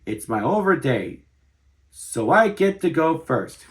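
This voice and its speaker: A man voicing an excitable young male opossum who is eager to lead in a children's storybook. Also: a little high, slow. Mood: insistent.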